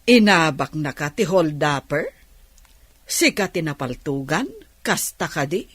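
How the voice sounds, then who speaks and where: A woman's voice: low voice, very animated, unhurried, husky, no echo, heavily acted. A woman acting a fretful, opinionated village auntie in an Ilocano radio drama, with an Ilocano accent. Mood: fretful, scolding. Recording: dry studio with music bed.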